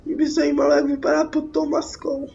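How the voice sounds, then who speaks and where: A woman's voice: sits high, monotone, creaky, dark tone, soft background music. A Czech woman with a cold giving casual, sweary game commentary, reading out dialogue and reacting with exasperation. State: sick with a cold. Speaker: gaming YouTuber. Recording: muffled mic with background noise.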